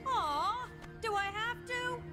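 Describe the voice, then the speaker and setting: A woman's voice: very high-pitched, animated, takes it slowly, music in the background, heavily acted. A woman voicing a sassy, sarcastic, bored superheroine in a video game, with a drawling delivery. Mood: sarcastic, sulky. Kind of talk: video game cutscene acting.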